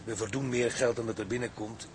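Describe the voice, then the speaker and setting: An actor playing a blunt but respectful deckhand in a radio drama, colloquial and a little hesitant. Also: male voice, fairly low pitch, fairly even intonation, brisk, close to an everyday voice. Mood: uneasy, questioning. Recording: clear ambient noise behind.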